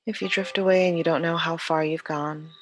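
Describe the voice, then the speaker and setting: A yoga teacher leading a guided meditation in a calm, soothing, unhurried way with long pauses. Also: mid-range voice.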